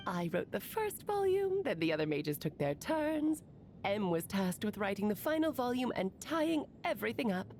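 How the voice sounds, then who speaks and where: A theatrical mage-storyteller, teasing and grand, with a fairly high voice that swoops through its range. Every phrase lands like the opening of a fairy tale. Game music plays clearly underneath. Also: female voice, darkish tone. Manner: dramatic, storybook flourishes, teasing. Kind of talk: video game dialogue.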